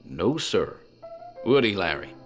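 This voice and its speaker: A man playing a talkative country tavern landlord in a horror audio drama, deliberate, teasing and deferential in his storytelling. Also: low-pitched, very animated, exaggerated voice. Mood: ominously teasing. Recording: music under the voice.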